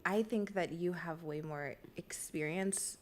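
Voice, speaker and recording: A woman's voice: lowish voice, unhurried, darkish tone. A woman with an American accent speaking candidly and personally in a conversation on stage. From United States. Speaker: speaker in a live conversation event.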